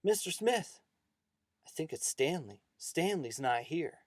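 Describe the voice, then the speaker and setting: A man's voice: sits high, steady tone, normal speed, warm tone, breathy edge, dry recording, clean studio sound, voice pushed up. A man voicing an anxious young schoolboy in a spoken story, hesitant but eager.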